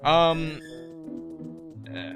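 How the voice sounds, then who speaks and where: A man's voice: fairly high pitch, very animated, drawn-out words, highs cut off. A young man casually commenting on a video game in a rambling, joking, self-mocking way, over game music. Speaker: let's play host.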